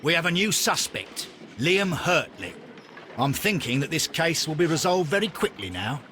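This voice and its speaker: An actor playing a smug, eager police inspector in a video game, brisk and official in manner. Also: male voice, fairly high pitch, big pitch swings, bright, forceful tone, slight background noise. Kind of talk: scripted video game dialogue. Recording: clearly audible background ambience.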